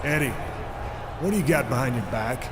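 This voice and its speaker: A man's voice: mid-range voice, expressive, brisk, close to an everyday voice. A man playing a wary, no-nonsense wrestler in a video game, challenging a rival sternly. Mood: suspicious, impatient. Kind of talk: scripted wrestling game dialogue. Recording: echoey, roomy, faint background noise.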